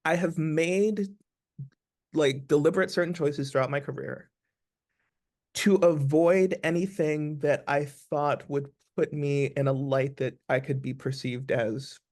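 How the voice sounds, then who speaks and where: A man's voice: on the high side, steady tone, measured pace, darkish tone. An American man, an actor being interviewed, talking reflectively and restating his points with emphasis. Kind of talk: conversational interview.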